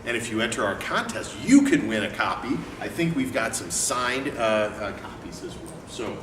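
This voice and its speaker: A man presenting a picture book read-aloud and talking informally as an educator, with an expressive delivery. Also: on the low side, moderate pace. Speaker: educator and book company owner reading aloud. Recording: echoey room, distant mic, clear background noise.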